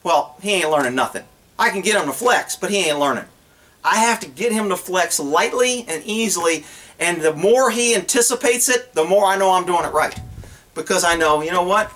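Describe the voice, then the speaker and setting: A middle-aged man talks in a raised, high pitch. He explains in a folksy, animated and blunt way, unscripted, like a trainer addressing a camera outdoors. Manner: animated, blunt, folksy, acts out imagined dialogue. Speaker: horse trainer and vlogger. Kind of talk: casual off-the-cuff instructional talk to camera. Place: outdoors on open rural land.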